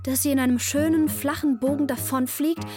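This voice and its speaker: A woman's voice: sits high, steady tone, a little fast, a little mellow, faint music behind, natural voice. An actress playing an intense, troubled young woman who narrates her breakdown in a wry, matter-of-fact way in a radio play. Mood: wound up.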